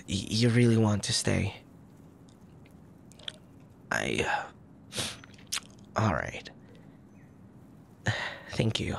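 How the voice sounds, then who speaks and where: A man playing a soft, tender young crown prince in an intimate ASMR roleplay, speaking formally with a gentle, hesitant manner and a troubled air. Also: on the low side, creaky, slight background noise, heavily acted. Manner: formal old-fashioned wording, gentle, hesitant.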